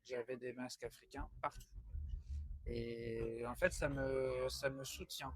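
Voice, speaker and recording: Male novelist from France, middle register. Conversational interview answers, reflective and halting, with repeated phrases. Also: mid-range voice, steady tone, no echo.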